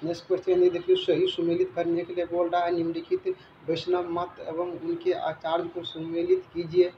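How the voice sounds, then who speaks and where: A man with a rather high voice reads out quiz questions and their answers as a teacher. He goes haltingly, stumbling and repeating words. The sound is roomy and echoing, with faint noise behind him. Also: completely flat delivery. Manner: halting read-aloud with stumbles and repetitions. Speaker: teacher explaining exam questions. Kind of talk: reading quiz questions and answers aloud as a lesson. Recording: dull, roomy recording with background noise.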